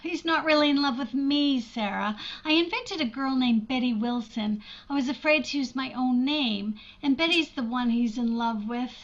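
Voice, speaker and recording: A woman's voice: sits high, moderate pace, few pauses, natural voice. A woman acting in a 1940s-style radio drama as a flustered, lovestruck schoolteacher in her mid-thirties, hesitant and fretful, on a slightly muffled recording. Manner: hesitant, fretful, breathlessly apologetic.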